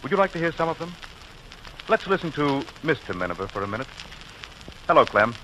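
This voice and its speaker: A man's voice: fairly high pitch, sing-song, fairly quick, bright, forceful tone, slight background noise, low-quality recording. A man announcing and narrating in scripted 1940s radio style, addressing listeners in a folksy, direct way. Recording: old 1940s radio recording.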